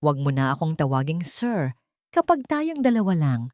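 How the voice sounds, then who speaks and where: Female narrator as wealthy young male lead in a romance audiobook: earnest, emphatic, lifted pitch, lively swings. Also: normal speed, dry recording, studio quality. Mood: soft, apologetic. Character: wealthy young man, intense romantic story hero.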